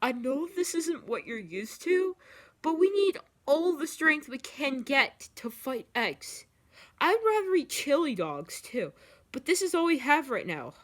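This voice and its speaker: A woman plays a protective anime warrior in a story. The voice is raised and bright, earnest and steady in its explanations. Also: high-pitched, unhurried, voice pushed up, full character voice. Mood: reassuring.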